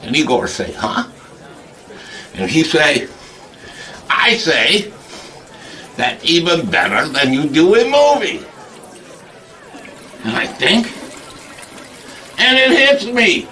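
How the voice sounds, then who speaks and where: A man's voice: a little high, big pitch swings, takes it slowly, pauses often, pushed and bright, far from the mic, a faint crowd, heavily acted, low-quality recording. A man performing a comic character named Igor in put-on broken English, rambling and laughing a lot. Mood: boastful. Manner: broken grammar, frequent laughing. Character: foreign male hunchback-type comic Igor, boastful. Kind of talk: comic monologue.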